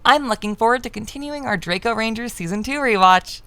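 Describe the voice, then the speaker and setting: A woman's voice: fairly high pitch, fairly quick, bright, forceful tone, clear, resonant tone, no room sound, voice pushed up. A woman voicing a cheerful female companion robot in a game, with a formal, chirpy assistant delivery. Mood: bright, polite.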